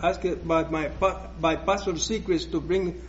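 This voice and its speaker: Slow, hesitant Puerto Rican preacher. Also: male voice, on the high side, steady tone, highs cut off, slight background noise. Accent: Puerto Rican Spanish. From Puerto Rico. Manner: slow, hesitant, with many restarts. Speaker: guest preacher. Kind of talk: personal testimony in church.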